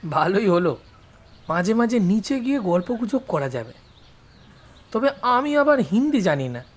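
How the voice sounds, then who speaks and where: A woman's voice: mid-range voice, big pitch swings, normal speed, voice pushed down. A woman voicing a mild, courteous male writer in an audio story drama. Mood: pleasant, curious. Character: mild, genteel male writer, an educated visitor.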